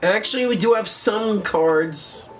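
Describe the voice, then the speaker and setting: High-voiced, slow-talking traveller. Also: male voice, low-quality recording. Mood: casual. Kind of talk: anime game voice acting. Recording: music behind the voice.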